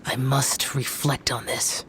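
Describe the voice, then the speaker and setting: An actor voicing a solemn, aloof young male yaksha demon slayer in a video game, speaking in a formal, stoic, measured way. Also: mid-range voice, little pitch movement, a bit bright, slight background noise. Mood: grave, stoic.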